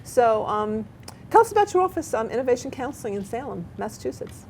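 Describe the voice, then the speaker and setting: A woman hosting a local TV talk show, chatty and animated, often tripping over her words. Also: on the high side, quick pace, breathy edge. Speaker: talk show host and therapist. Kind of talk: casual local TV interview chat. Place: local cable TV studio.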